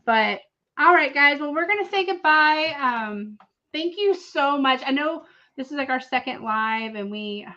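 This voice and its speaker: A woman's voice: sits high, expressive, unhurried, sharp tone. Chatty woman host, lo-fi. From South Texas. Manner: chatty, laughs often, says y'all. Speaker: livestream co-host. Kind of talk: casual livestream chat. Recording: lo-fi stream audio.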